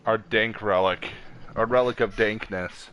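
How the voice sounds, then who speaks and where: A man's voice: on the low side, steady tone, a little slow, a bit bright, a little hiss or hum. A man streaming a game, switching between casual joking chat and reading the game text aloud as narration. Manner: joking, self-deprecating.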